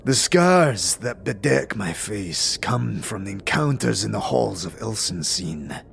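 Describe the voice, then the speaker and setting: A man's voice: lowish voice, gravelly, a bit bright, full character voice. A man voicing a proud, scarred alien soldier who speaks in grave, formal, archaic phrases in a fantasy roleplay. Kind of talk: tabletop-style fantasy roleplay. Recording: faint background noise.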